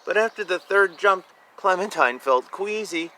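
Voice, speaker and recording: Animated man reading a children's storybook. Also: sits high, a little slow. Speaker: reader of a children's picture book. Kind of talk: read-aloud storytelling with casual asides about the pictures.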